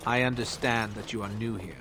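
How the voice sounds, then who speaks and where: An actor playing an older male cult priest in a fantasy game, formal and measured in his speech. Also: on the low side, steady tone, music in the background, lightly acted. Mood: calm, courteous. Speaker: preacher. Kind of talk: scripted fantasy game acting.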